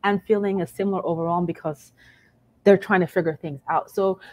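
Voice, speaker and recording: Woman in her 30s, lowish voice, upbeat money pep talk on a webcam call, keeps saying right?. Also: steady tone, brisk, dry recording. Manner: encouraging, emphatic, often adds "right?". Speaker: personal finance host. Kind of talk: casual advice chat on a video call. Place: home room, indoors. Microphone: video call webcam.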